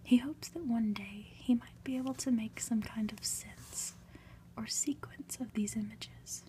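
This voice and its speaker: A woman whispering softly and breathily in an ASMR style, gentle and unhurried, as a reader and host. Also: fairly high pitch. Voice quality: breathy, mostly unvoiced whisper. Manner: soft, unhurried, gentle delivery. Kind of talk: whispered ASMR, storybook reading and soft chat.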